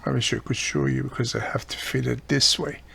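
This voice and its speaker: A man's voice: medium pitch, steady tone, fairly quick, vocal fry, bright, forceful tone, a little hiss or hum. A man giving a casual hands-on repair demonstration, explaining each step plainly as he works. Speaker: radio repairer and video host.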